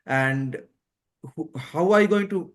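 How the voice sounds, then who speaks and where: A man's voice: on the high side, slow. A man who is a non-native English speaker, answering interview questions as a guest doctor, rephrasing hesitantly as he explains. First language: not English. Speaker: guest, doctor who passed a surgical exam.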